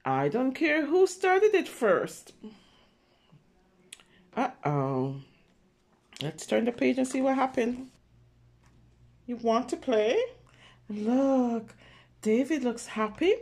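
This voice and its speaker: A woman reading a picture book aloud with simple, expressive commentary for young children, exclaiming and checking in with her listeners. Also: mid-range voice, creaky. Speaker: children's story reader.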